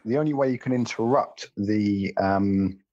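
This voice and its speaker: A man's voice: on the low side, a little slow, warm tone. A man co-hosting a podcast, rambling in casual chat with wry asides and self-corrections. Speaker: podcast co-host. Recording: dull lo-fi sound.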